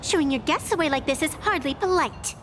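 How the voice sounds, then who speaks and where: An actress playing a cheeky, sharp-tongued young woman in a video game, teasing and sarcastic. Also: high-pitched, slight background noise. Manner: teasing, sarcastic, quick to bite back. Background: background music.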